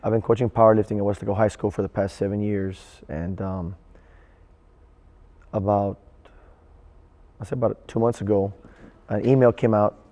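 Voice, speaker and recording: A man, a high school coach and theater director, telling his story in an interview. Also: warm tone.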